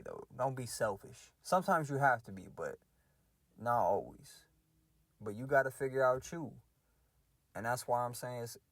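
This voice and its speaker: A young man in his mid-twenties rants to himself in a loose, slangy, reflective way, his voice sitting at an ordinary middle pitch. The sound is dry and close, with no room around it. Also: no echo. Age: 20s. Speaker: podcast host ranting. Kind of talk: casual solo rant.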